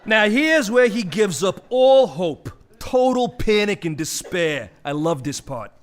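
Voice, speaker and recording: A man's voice: high voice, sing-song, measured pace. An actor playing a callous, wisecracking male vulture in a comic audio play, mocking and terse in delivery. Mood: callous amusement.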